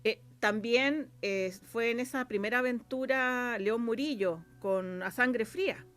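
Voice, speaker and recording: A woman's voice: on the high side, unhurried, a bit bright. A woman with a Chilean accent speaking as a guest in a casual interview, chatty and rambling with plenty of filler phrases. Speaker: interview guest, radio drama scriptwriter.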